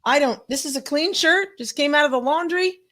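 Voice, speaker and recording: A woman's voice: sits high, fairly quick, fairly bright tone. A woman in her fifties co-hosting a live podcast, chatting casually and reading viewer comments aloud, laughing often and swearing freely. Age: 50s. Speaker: podcast co-host.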